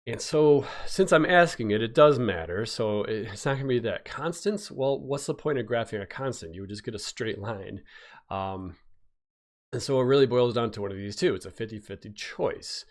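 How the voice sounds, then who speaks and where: A man's voice: mid-range voice, lively intonation, normal speed. A man who is a physics teacher, giving a casual live-streamed exam review while stuffy from a cold, rambling with fillers and restarts. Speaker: high school physics teacher. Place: home, recording a YouTube live stream.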